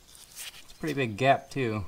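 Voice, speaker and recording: A man's voice: medium pitch, measured pace, gravelly, a little hiss or hum. Man muttering as he works in a barn. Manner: muttering, self-narrating while working. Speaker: restoration vlogger. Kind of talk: casual hands-on narration. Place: open-sided metal barn.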